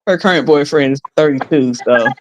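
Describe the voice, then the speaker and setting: A woman's voice: deep voice, a little fast, background noise. A woman in her fifties chatting casually in a group, rambling and restarting her sentences as she tells stories. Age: 50s. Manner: rambling, restarts often, quotes herself.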